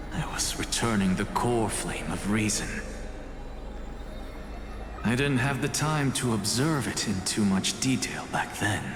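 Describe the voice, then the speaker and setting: A man playing a proud, wry professor in a fantasy game, theatrical, erudite and sardonic. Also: on the low side. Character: proud, wry male scholar professor, a spectral thought. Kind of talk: dramatic game voice acting. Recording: echoey hall reverb with music behind. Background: music.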